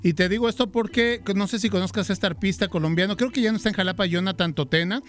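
He is a man from Argentina co-hosting a radio show, his voice pitched high for a man. He keeps things loose and chatty, teasing his partner and joking with the guest. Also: quick pace. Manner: jokey, chatty and warm with guests. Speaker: radio host. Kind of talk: radio interview.

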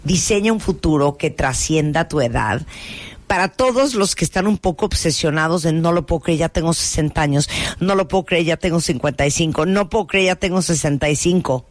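A woman in her thirties hosts a radio talk show with a slightly low voice. She chats casually and throws teasing questions at listeners. The sound is dry and close, with no room around her. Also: brisk, no room sound.

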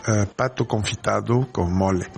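A man's voice: lowish voice, moderate pace, vocal fry, faint music behind. A man from the south of France, a chef whose first language is French, chatting in an interview and often asking if he is understood. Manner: animated, keeps checking understanding, many restarts. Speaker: guest chef. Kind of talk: casual interview talk.

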